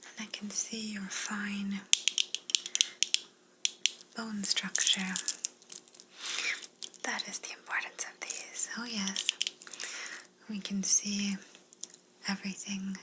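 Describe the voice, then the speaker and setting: A woman playing a polite, curious alien examiner in an ASMR roleplay, speaking in a soft breathy whisper with a soothing, clinical manner. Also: mid-range voice, level tone, very noisy, natural voice. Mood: curious, fascinated. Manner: slow, soothing, clinical, courteous.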